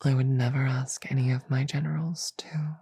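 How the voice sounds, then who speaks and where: A woman voicing a regal, teasing, magnanimous demon queen in a roleplay audio drama, with a deliberate, amused drawl. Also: rumbling low, flat delivery, breathy edge, no room sound, exaggerated voice. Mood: warm, magnanimous. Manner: slow, deliberate, amused drawl with soft chuckles.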